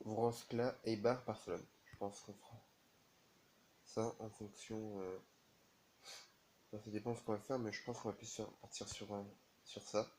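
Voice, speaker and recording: A man with a cold commentating his own video game match in a casual, halting way, full of fillers. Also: fairly low pitch, darkish tone, slight background noise. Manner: halting, many fillers and restarts. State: has a cold, sneezing. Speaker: gaming video creator.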